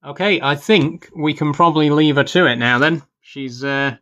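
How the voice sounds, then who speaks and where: Young man, casual stream host, bright tone, rambling delivery. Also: on the high side, moderate pace, muffled recording. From England. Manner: rambling, relaxed, many fillers. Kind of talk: casual live stream chat. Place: bedroom at home.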